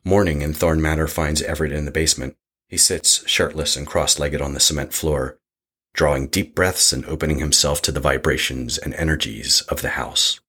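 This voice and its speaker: A man reading dark scripted narration for a horror audio drama in a husky voice. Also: extremely low pitch, fairly even intonation, normal speed, full character voice.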